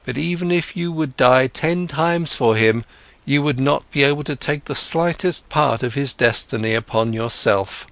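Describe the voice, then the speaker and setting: A man's voice: mid-range voice, moderate pace, no room sound, lightly acted. A man reading as a wise old ferryman. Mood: gentle. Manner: calm, gently questioning. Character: wise old male ferryman, gentle and patient.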